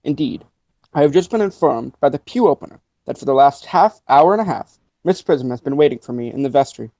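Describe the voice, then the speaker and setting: A man's voice: medium pitch, expressive, fairly quick, warm tone, no echo, natural voice. A man playing a pompous, mild, learned country clergyman in a radio play, pedantic and formal, on a slightly dull recording. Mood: pompous, genteel. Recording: dry, slightly dull lo-fi audio.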